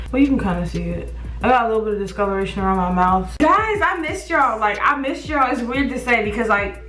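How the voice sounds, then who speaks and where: A woman's voice: mid-range voice, fairly bright tone. A young woman chatting to the camera while getting ready, repeating words because of a mild stutter. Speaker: beauty vlogger. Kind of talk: casual chat to camera. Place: small warm beauty room at home. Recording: roomy, slightly distant.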